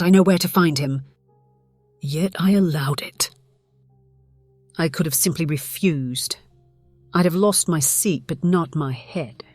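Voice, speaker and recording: A woman playing a centuries-old, conflicted vampire, narrating gravely in a measured, theatrical way. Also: low-pitched, a little mellow, no echo. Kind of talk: dramatic scripted narration. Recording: dramatic music behind the voice.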